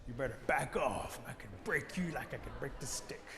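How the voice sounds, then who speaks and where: A man's voice: medium pitch, animated, moderate pace, pushed and bright. A man voicing a small, picked-on turtle who whines and boasts in an animal folktale, with emphatic exclamations. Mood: boastful. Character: small male turtle, picked-on, whiny, turning boastful mighty warrior. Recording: long hall reverb, some noise.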